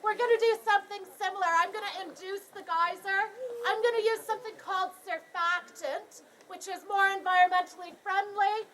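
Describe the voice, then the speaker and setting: A young Canadian woman guiding a tour outdoors, projecting her voice loudly to a crowd and joking as she explains. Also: extremely high pitch, steady tone, a little fast, low-quality recording. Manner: loud projected voice without a speaker, joking. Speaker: tour guide. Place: outdoors beside a geyser.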